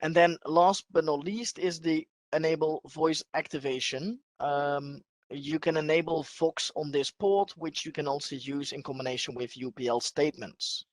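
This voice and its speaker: A man presenting a live software demonstration as a webinar trainer, explaining things step by step in a halting way. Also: a little high.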